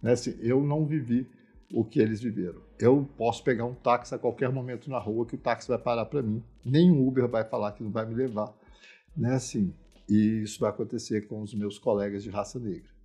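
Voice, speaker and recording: Brazilian man, Minas Gerais accent, mid-pitched and mellow, informal expert commentary, thoughtful but emphatic. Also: fairly even intonation, a little fast, breathy edge. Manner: reflective, explanatory, emphatic, often checks in with tag questions. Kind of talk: informal spoken commentary on social issues.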